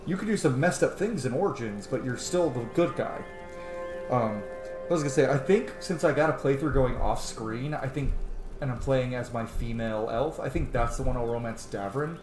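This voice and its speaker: A man's voice: medium pitch, normal speed. A man giving casual, rambling commentary as he plays a video game, musing aloud. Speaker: gaming streamer, let's-player. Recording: roomy echo, faint game music. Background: faint game music.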